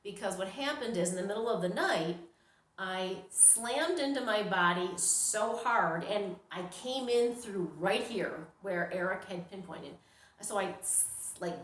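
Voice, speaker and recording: A middle-aged woman from New York tells a personal story casually and dramatically, acting out her reactions. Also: medium pitch. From New York, United States. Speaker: storyteller, vlogger. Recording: roomy, echoing sound.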